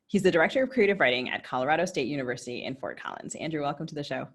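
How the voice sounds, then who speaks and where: A woman reads a scripted guest introduction as a podcast co-host. Her delivery is brisk and fast. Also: sits low, animated.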